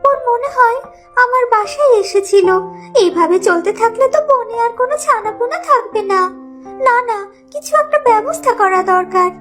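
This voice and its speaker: A woman's voice: very high voice, expressive, normal speed, a bit bright. A woman voicing a small, anxious, motherly sparrow in a children's cartoon, with a worried dramatic manner. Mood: alarmed. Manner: pleading, dramatic worry. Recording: music behind the voice.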